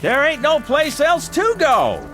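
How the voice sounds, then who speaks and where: An actor playing a folksy, coaxing gatekeeper of the afterlife in a vintage TV drama, with a rural Southern American accent. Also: male voice, very high-pitched, wildly swooping pitch, fairly bright tone, soft background music, exaggerated voice. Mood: insistent. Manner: folksy, coaxing, drawn-out phrasing. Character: folksy, wheedling male gatekeeper of a false heaven. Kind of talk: vintage television drama acting.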